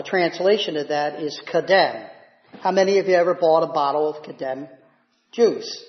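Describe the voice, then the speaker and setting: A male preacher teaches from scripture in an emphatic, instructive way, often questioning his listeners. His voice sits high for a man. The room rings with echo and the sound is slightly dull. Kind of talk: sermon, Bible teaching. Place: church or hall, speaking to a congregation.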